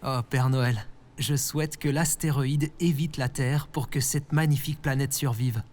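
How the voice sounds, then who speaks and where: A man playing an anxious, naive lone human in a French audio drama, earnest, hesitant and expressive. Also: medium pitch, a little slow, close to an everyday voice. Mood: hopeful. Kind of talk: scripted French audio fiction acting.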